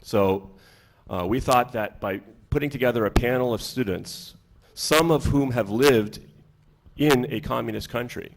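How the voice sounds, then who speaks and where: Male teacher from Boston leading a panel: lowish, gravelly voice, loose and rambling delivery, often circling back to rephrase a question. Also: slight background noise. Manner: rambling, searching for words. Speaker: teacher moderating a student panel. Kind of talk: unscripted panel introduction and questions.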